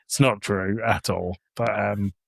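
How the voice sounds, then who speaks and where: A man co-hosts a casual podcast in a blunt, sweary way. His voice sits a little low, and the sound is dry with little room around it. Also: fairly even intonation, measured pace, creaky, no echo. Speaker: podcast co-host. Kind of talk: casual chat.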